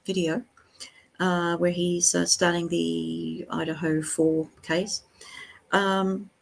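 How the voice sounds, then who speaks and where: A woman's voice: fairly low pitch, gravelly, a little mellow. A woman giving critical, disapproving spoken commentary as the host of a reaction video, with blunt interjections.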